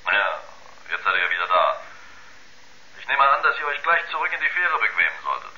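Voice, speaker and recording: An actor playing a worried, impatient astronaut in a radio drama, calling his crewmates with brisk insistence. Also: low-pitched, sing-song, heavily acted. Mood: resigned. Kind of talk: 1970s German radio drama acting. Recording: dull, sharp radio-link sound.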